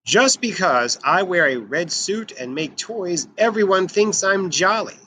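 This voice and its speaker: A man with a high, bright voice reads a picture book aloud for children. His delivery is animated and emphatic, with lively swings in pitch. Some background noise sits behind him. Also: unhurried. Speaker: children's storybook reader.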